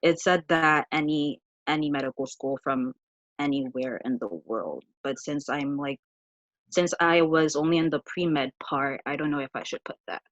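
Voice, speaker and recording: Hesitant low-voiced woman from Haiti. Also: moderate pace, dry recording. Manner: hesitant, searching for words. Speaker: attendee asking a question. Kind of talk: casual question on a video call. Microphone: video call. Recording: dry, muffled video call audio.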